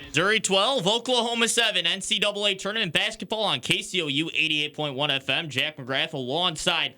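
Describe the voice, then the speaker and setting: Bright-voiced young male sportscaster. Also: high voice, steady tone, brisk, soft background music. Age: young adult. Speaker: sports anchor and radio play-by-play commentator. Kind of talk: sports headlines and live basketball commentary.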